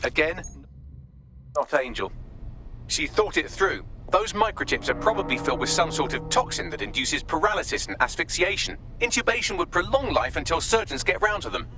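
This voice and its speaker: A wry male AI assistant in a video game, bright and fairly high. The delivery swoops expressively while staying precise and formal, with music underneath. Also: sing-song, normal speed, poor audio. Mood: clinical. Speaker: AI assistant character.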